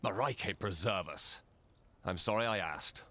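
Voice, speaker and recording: A man's voice: low voice, unhurried, bright, forceful tone, heavily acted. An actor voicing a wry male lord in a fantasy video game, with drawling emphasis. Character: wry, unhurried male lord greeting adventurers.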